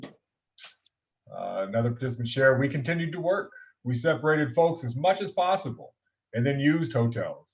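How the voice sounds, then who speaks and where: Male moderator, mid-pitched, reads chat aloud hesitantly; dull lo-fi call audio. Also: lively intonation, moderate pace, slight background noise. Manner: hesitant, with "uh" fillers. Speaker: webinar moderator. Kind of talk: reading chat responses aloud.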